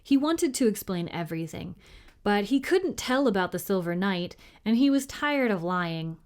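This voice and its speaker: A woman's voice: medium pitch, expressive, normal speed. A woman reading a children's novel aloud as narrator for a library storytime.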